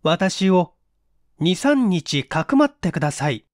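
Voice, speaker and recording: A grave secret agent on a mission, a man as acted by a woman reader. He speaks politely and with measured urgency, as in a dramatic mystery audiobook. The sound is dry and close. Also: lowish voice, big pitch swings, a little mellow, no echo, pitched below the performer's own voice. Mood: urgent, pleading.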